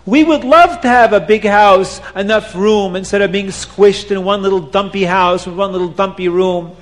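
A man's voice: high-pitched, moderate pace, long reverb tail, lightly acted. A man voicing a poor, hopeful father of six who muses wistfully. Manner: wistful, musing.

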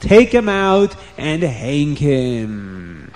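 A blustering storybook king , acted out by a man, quick to take offence. The voice is raised and fairly high, with emphatic, accusing exclamations fit for a children's tale. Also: a little mellow, soft background music, close to an everyday voice. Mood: vengeful.

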